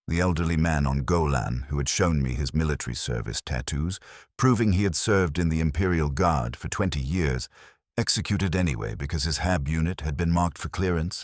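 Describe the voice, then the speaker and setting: A man giving a solemn, measured, scripted first-person narration of a dramatic story. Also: extremely low pitch, darkish tone, no room sound, clean studio sound.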